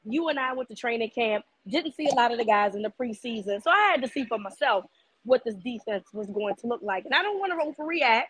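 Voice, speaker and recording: Hoarse, raspy woman co-host. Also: on the high side, brisk, no room sound, rough recording. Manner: animated, repeats words while thinking aloud. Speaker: sports podcast co-host. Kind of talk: casual sports talk.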